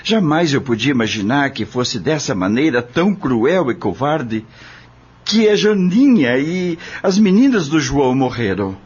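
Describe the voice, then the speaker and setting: A man's voice: medium pitch, sing-song, unhurried, darkish tone, husky. Guy acting a worked up humble farm man in a radio novela, voice swings a lot, dull lo-fi sound. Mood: grieving, shocked. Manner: emotional, emphatic, plain rural speech. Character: humble married farm man, earnest, indignant and anguished. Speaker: actor in an audio drama. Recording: dull lo-fi audio drama recording. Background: prominent background music.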